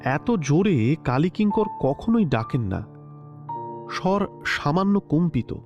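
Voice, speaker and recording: A man narrating a classic story in a measured, expressive literary style. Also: fairly high pitch. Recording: slightly dull lo-fi recording with soft music.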